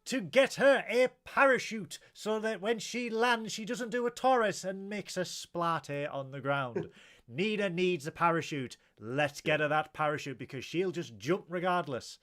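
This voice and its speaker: A man's voice: high-pitched, measured pace, a bit bright. A man, co-hosting a casual podcast in a playful, teasing way. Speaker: podcast co-host. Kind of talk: casual chat.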